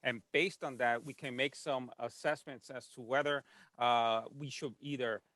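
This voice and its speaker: A man's voice: mid-range voice, fairly even intonation, normal speed, pushed and bright, dry recording. A man speaking as a medical panelist, explaining an answer in a presentation style.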